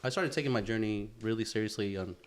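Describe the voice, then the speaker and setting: A man's voice: fairly low pitch, quick pace. A man in his thirties co-hosting a podcast, talking in a friendly, encouraging way with lots of fillers. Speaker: podcast co-host. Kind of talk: casual chat.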